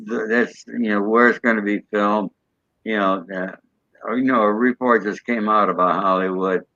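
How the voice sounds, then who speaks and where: A man's voice: lowish voice, steady tone, normal speed, pushed and bright, dry recording. A man guest in a casual interview, matter-of-fact and peppering his talk with "you know". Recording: dry, dull lo-fi audio.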